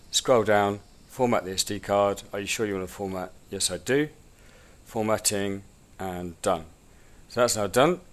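A lowish-voiced man walks through a technical setup at his table at home, talking casually with plenty of ums. He has a cold and sounds a little snuffly throughout. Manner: informal, rambling, many ums. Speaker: drone instructor. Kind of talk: hands-on tutorial walkthrough.

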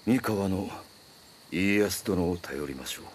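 An actor playing a grave, brooding samurai in a period video game, with hushed, solemn, archaic phrasing. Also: male voice, low-pitched, lively intonation, a little slow, slight background noise. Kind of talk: scripted period game drama.

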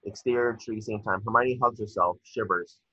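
A man's voice: lowish voice, moderate pace, bright, forceful tone, husky, dry recording. A man reading stage directions aloud as narrator at a table read, now and then stumbling over words. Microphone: video call. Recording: dull lo-fi video call audio.

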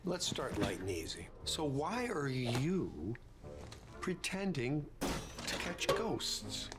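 A man playing a smug, unhurried skeptic in a game cutscene, dry and condescending. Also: medium pitch, huge pitch swings, very noisy. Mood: smugly polite. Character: smug, unhurried male skeptic questioning ghost hunters. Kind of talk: scripted game cutscene acting. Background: loud music.

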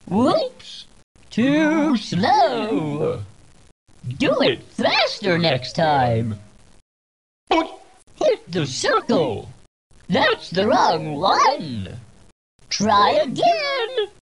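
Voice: mid-range voice, huge pitch swings, very slow, pauses often, crowd noise, heavily acted. An actor voicing a manic, eager cartoon puppet doctor with a menacing edge, in theatrical, exaggerated game voice lines. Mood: scolding. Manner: theatrical, wildly swooping delivery. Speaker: game character.

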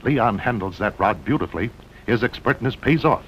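An American man narrates a vintage sixties outdoor film with very animated, swooping delivery and dramatic short phrases. His voice sits fairly low. The soundtrack is dull and old, with a faint rumbling noise beneath it. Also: normal speed. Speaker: documentary narrator. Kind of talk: 1960s promotional film narration.

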